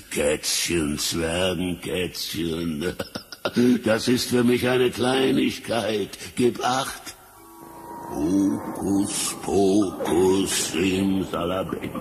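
A man's voice: low voice, steady tone. An actor playing a vain, boastful wizard in a fairy-tale audio drama, condescending as he intones his spells. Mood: smug, scornful. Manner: slow, condescending, incantatory. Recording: echoing hall, noisy vinyl transfer.

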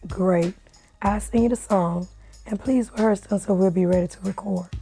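A hoarse middle-aged woman playing a bossy music producer running a girl-group rehearsal in a small studio. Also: mid-range voice, fairly even intonation, moderate pace, dark tone, lightly acted. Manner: bossy, exasperated, repeatedly calling cut. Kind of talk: amateur film acting. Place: small dark recording studio.

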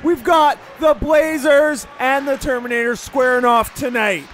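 A man with a naturally high, very high-pitched voice co-hosts a joking amateur hockey commentary. He gets excitable and shouts out the plays. Music plays clearly under his voice. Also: measured pace. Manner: excitable, often shouting the play. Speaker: co-host.

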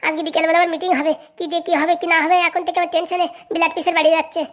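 A woman's voice: very high-pitched, flat delivery, very fast. A woman voicing an anxious, nagging middle-aged mother in a comic cartoon. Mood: worried. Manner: coaxing, fretting.

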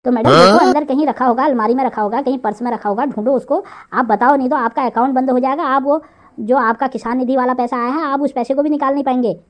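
Cartoon role for a woman: fake male bank manager, high-pitched, officious, wheedling and persistent. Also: quick pace, close to an everyday voice. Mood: persuasive, pressing. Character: pushy male phone scammer posing as bank manager. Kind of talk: comic cartoon dialogue.

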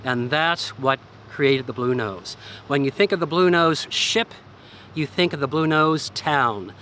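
A man in his sixties guiding a walking tour, speaking in a measured way and listing details with emphasis, recorded outdoors by a harbor. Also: fairly high pitch, lively intonation, pushed and bright. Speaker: tour guide. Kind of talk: guided walking tour narration. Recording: faint outdoor background noise.

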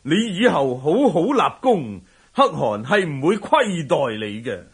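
Magnanimous warlord prince, commanding, hearty, slow, highly animated, radio drama. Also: male voice, husky, full character voice. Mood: pleased, hearty. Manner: hearty, unhurried, laughing boastfully. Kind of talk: Cantonese historical radio drama acting.

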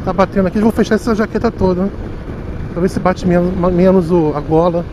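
A man's voice: high voice, fairly even intonation, fast talker. A man with a Rio de Janeiro accent, chatting casually to viewers while riding a motorcycle through city traffic. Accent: Rio de Janeiro Portuguese. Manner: chatty, self-mocking, talks to viewers directly. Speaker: motovlogger. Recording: faint wind and road noise. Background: faint wind and traffic noise.

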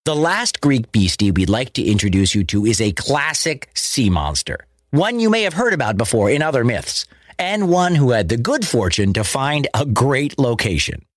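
A man's voice: mid-range voice, sing-song, normal speed, no echo, clean studio sound. A man narrating a scripted myth for children in a dramatic, playful manner. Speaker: storyteller, host.

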